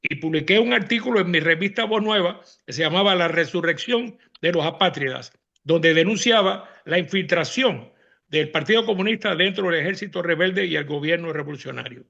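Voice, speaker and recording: High, gravelly elderly Cuban man. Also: lively intonation, brisk, bright, forceful tone, muffled recording. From Cuba. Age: 80s. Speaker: veteran exile activist, panel guest. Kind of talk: speech recounting personal and political history.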